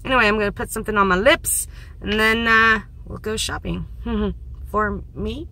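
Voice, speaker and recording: A woman in her thirties with a hoarse, fading voice, chatting casually and rambling in a vlog from a parked car into a phone held at arm's length. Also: medium pitch, moderate pace, sharp tone. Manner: rambling, self-mocking, laughs often. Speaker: vlogger.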